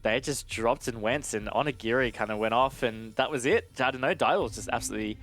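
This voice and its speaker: A man's voice: mid-range voice, fairly quick, a bit bright. A man giving conversational live analysis as an esports caster, in an informal way with casual asides.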